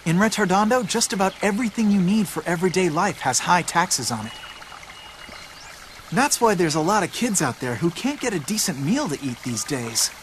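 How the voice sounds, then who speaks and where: A man's voice: sits high, expressive, moderate pace, slight background noise. A man voicing a streetwise, cynical boy in a video game, blunt and matter-of-fact, like a bossy older friend. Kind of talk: video game cutscene voice acting.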